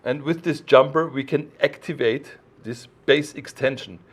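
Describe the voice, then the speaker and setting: A man with a mid-pitched voice talks through technical details like a designer presenting his own work. His delivery is informal but didactic, with halting phrases and firm emphasis on key points. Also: husky. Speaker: loudspeaker designer explaining his products.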